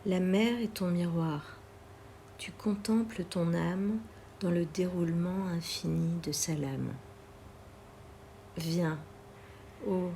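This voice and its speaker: A woman reads literary poetry aloud in a measured, deliberate way, pausing between phrases. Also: fairly low pitch, gravelly, mellow, a little hiss or hum. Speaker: poetry reader.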